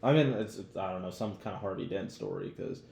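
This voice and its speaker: Casting: young American male podcaster, low and mellow, loose slangy delivery that rambles, roomy noisy recording. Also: expressive, fast talker. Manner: rambling, heavy use of "like" and "bro". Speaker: podcast co-host. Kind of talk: casual, slangy pop-culture chat. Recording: roomy echo, clear background noise.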